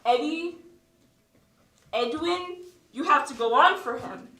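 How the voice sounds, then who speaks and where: An echoey recording from far back in a theatre. A young woman plays an impatient stage manager with a very high, bright, forceful voice, projecting orders in a commanding way. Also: animated, slow, exaggerated voice. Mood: urgent, impatient. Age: teens. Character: brisk, bossy, impatient female stage manager. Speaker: student actor. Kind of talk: stage play acting.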